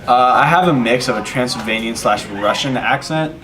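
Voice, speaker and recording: A man's voice: medium pitch, normal speed, crowd noise. A man in the cast talking hesitantly, searching for his words. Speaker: cast member being interviewed.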